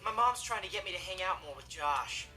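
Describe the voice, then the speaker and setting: A young man playing a cool, lazy, sarcastic teenage stepbrother with deadpan delivery in a sitcom monologue. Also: high voice, fairly quick, exaggerated voice. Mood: deadpan annoyance. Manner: laid-back, deadpan. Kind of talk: scripted sitcom monologue to camera. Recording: music behind TV dialogue.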